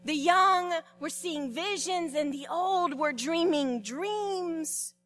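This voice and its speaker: A woman pastor delivering a sermon, telling the story expressively with repetitions and dramatic pauses. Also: very high voice, fairly bright tone.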